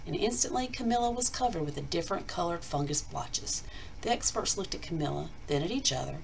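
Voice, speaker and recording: A woman hosting storytime, reading aloud to children in an expressive, emphatic picture-book style. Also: on the low side, brisk, creaky, slight background noise, rough recording. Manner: emphatic, addressing children directly. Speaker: storytime host, school reader.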